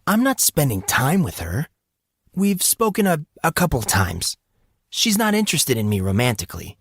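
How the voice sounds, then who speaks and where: A man's voice: a little high, huge pitch swings, normal speed, a bit bright, breathy edge, no echo, studio quality, voice pushed up, exaggerated voice. A man voicing a defensive, exasperated fourteen-year-old boy with an earnest, evasive manner in an audiobook. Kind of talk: audiobook character voice.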